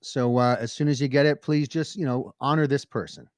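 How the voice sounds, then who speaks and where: Male podcast co-host, medium pitch, straight-faced and earnest, halting casual delivery, very dry recording. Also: steady tone, smooth, no room sound. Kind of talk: casual scripted-premise banter.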